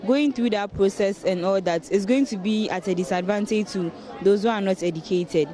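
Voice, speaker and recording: A woman's voice: medium pitch, moderate pace, warm tone, a faint crowd. A woman with a Ghanaian accent giving her opinion in a casual street interview. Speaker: member of public in street interview. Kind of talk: casual vox pop. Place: outdoors on the street.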